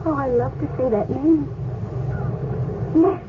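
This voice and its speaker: A woman's voice: sits high, slow. An actress playing Lydia, a devoted and jealous young freed slave girl, emotional and pleading, in a 1970s radio drama. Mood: anguished. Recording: tinny lo-fi radio broadcast with hiss.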